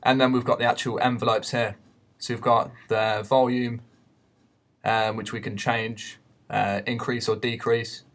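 A man giving an unscripted software tutorial in a hesitant, explanatory way. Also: mid-range voice, level tone, unhurried. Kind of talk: unscripted screen-recorded tutorial. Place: voice-over for a screen recording.

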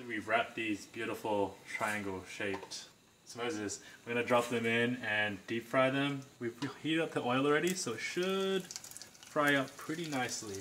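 A man's voice: mid-range voice, a bit bright. A young adult man casually narrating a home cooking video in a playful, joking way. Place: home kitchen and dining room. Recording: clearly noisy home camera sound.

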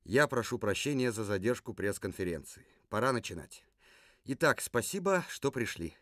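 A man's voice: on the low side, sing-song, normal speed, fairly bright tone, no echo, clean studio sound. A man voicing a male puppet survivor from a ruined future, who talks in an earnest, lecturing way and sometimes hesitates. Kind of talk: puppet theatre performance.

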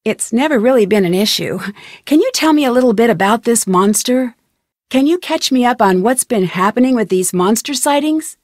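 A young American amateur sleuth for a mystery video game. Her voice sits a little high, earnest and polite, with an inquisitive, determined way of questioning. The recording is close and dry, like studio game dialogue. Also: expressive, normal speed, darkish tone, no room sound. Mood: curious, earnest. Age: young adult. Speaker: video game detective protagonist. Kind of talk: scripted adventure game voice acting.

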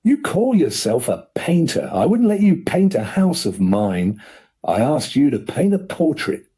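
A man voicing a blustering rich older uncle who turns furious, ranting loudly and indignantly. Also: medium pitch, wildly swooping pitch, moderate pace, husky, voice pushed up, heavily acted.

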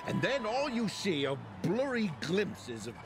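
A cranky elderly game character, scornful and griping. His fairly high voice swoops dramatically up and down, with music clearly playing behind it. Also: very animated, measured pace. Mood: disgusted. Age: older. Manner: scornful, exaggerated complaining. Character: disgruntled, elderly man griping with disdain. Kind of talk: video game voice acting.

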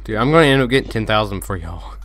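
A man's voice: fairly low pitch, animated, quick pace, fairly bright tone. A man making wisecracks with friends in casual online gaming chat. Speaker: gamer in voice chat.

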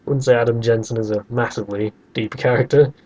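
A man with a mellow, relaxed tone argues casually as a podcast host, often slipping into mock quotes. His pitch is average, and the recording is a little dull and lo-fi. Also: fast. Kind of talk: casual debate about video games.

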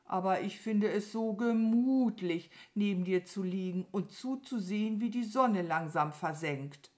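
A woman voicing a gentle, affectionate, dreamy cow in a children's storybook, with a mild, mooing manner. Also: medium pitch, unhurried, voice pushed up, close to an everyday voice.

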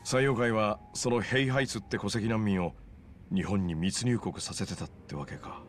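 Actor as low-voiced, knowing Tokyo guy. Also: normal speed, soft background music, natural voice. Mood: explanatory. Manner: casual, explanatory. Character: well-informed, casual, sharp-witted man from Tokyo. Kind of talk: video game drama acting.

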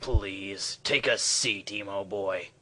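A man's voice: fairly low pitch, takes it slowly, bright, forceful tone, full character voice. Man playing a smug, sneering cartoon military villain. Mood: menacing. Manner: sneering, sardonic. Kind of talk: comedic cartoon parody dub.

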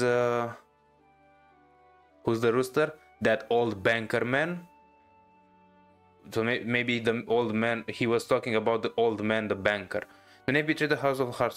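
Male gamer, mid-pitched voice, casual reaction commentary, hesitant, amused, thinking aloud, faint music behind. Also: fairly bright tone. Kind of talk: casual game playthrough commentary, reading dialogue aloud.

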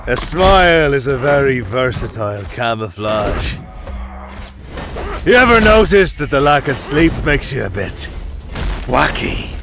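An actor playing a wisecracking, slightly unhinged brawler in a video game, gravelly, jaunty and theatrically cocky. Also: male voice, medium pitch, sing-song, a little slow. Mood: cocky, wry. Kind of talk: video game character voice lines. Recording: game music behind the voice.

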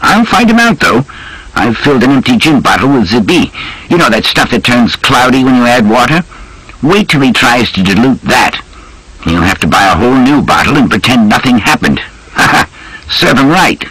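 A man's voice: medium pitch, sing-song, moderate pace, fairly bright tone, breathy edge, no echo, voice pushed up, rough recording. A man voicing a brash, grumbling, hard-drinking British army major in an audiobook, with clipped, slangy, bluff speech. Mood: indignant, gloating. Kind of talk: audiobook character dialogue.

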